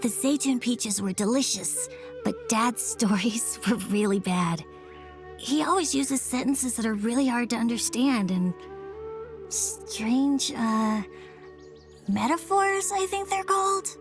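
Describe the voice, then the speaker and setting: A woman playing an earnest, gentle young daughter in a video game, speaking in a childlike, hesitant and sincere way. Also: a little high, expressive, takes it slowly, over music. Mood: wistful confession.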